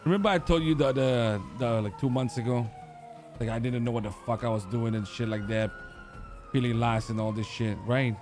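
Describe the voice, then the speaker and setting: A man talking in character in a roleplay game, hesitant and halting, with many fillers. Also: medium pitch, darkish tone. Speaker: gang member in a roleplay server.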